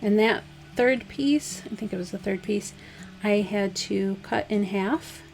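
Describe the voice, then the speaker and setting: Slightly hoarse woman explaining a craft. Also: medium pitch, measured pace, faint music behind. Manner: relaxed, chatty, step-by-step instructions with fillers. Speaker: craft vlogger. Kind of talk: casual DIY tutorial voice-over.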